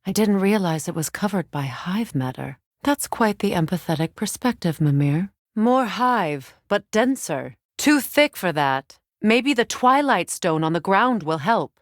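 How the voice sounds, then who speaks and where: An actress playing a solemn, regal Norse goddess warrior in a video game, speaking in a measured, grave, formal manner. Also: female voice, mid-range voice, animated, dry recording, clean studio sound, lightly acted. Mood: watchful, measured. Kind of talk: dramatic video game voice acting.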